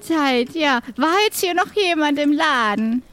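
Very high, slow old pirate woman, acted by a woman. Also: animated, fairly bright tone. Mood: grateful. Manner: slow, halting, wistful, polite. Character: elderly female pirate selling her old books, lonely. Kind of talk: improvised audio drama role-play.

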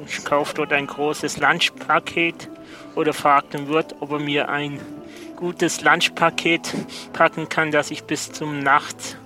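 A man's voice: fairly high pitch, pushed and bright. A man improvising as a player in an audio role-play, describing what he does. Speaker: guest player, listener of the show.

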